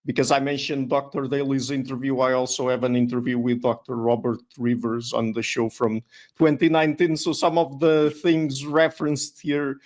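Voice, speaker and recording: A man's voice: mid-range voice, level tone, moderate pace, darkish tone. A man hosting an interview podcast, speaking casually with fillers and a friendly sign-off. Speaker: podcast host.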